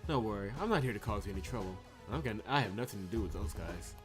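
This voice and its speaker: A man's voice: fairly low pitch, expressive, rapid-fire, lightly acted. A man reading the lines of a curious, pragmatic young woman detective from a visual novel, with music behind.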